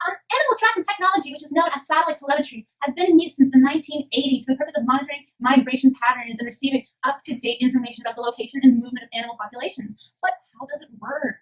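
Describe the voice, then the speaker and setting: A woman's voice: sits high, rapid-fire, few pauses, natural voice, rough recording. A woman voicing an earnest puppet science co-presenter, reading scripted educational narration in an explanatory way. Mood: earnest explaining.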